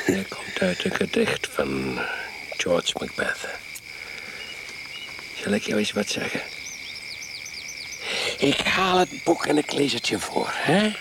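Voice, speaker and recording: An actor in a radio play playing an overbearing, eccentric older husband who is pushily hospitable and faintly menacing. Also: male voice, mid-range voice, wildly swooping pitch, slow pace, vocal fry, pauses often, heavily acted. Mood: smug, teasing. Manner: domineering, capricious, interrupting. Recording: noisy radio play recording.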